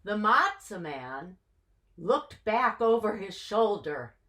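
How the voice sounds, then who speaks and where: A woman reads a children's storybook with a highly animated, swooping delivery. Her voice is bright and fairly high, and she takes her time, stretching phrases for dramatic effect. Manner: expressive, dramatic, drawn-out phrasing. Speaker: story reader.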